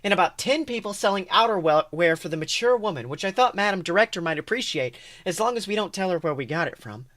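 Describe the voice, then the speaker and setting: An eager ten-year-old boy detective, acted out by a man, with a high voice. He is polite and precise, cheerful and earnest, like a character from a story read aloud. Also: lively intonation, quick pace, few pauses, a bit bright. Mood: eager, methodical. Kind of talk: character voice in a fan fiction reading.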